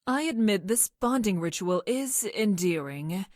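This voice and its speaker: A woman's voice: mid-range voice, expressive, measured pace, darkish tone, dry recording, studio quality, lightly acted. A woman voicing a clinical, awkwardly smitten female scientist-assassin from the future in a video game, speaking in a stilted, formal way with precise diction. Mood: formal, pleased. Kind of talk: video game character voice lines.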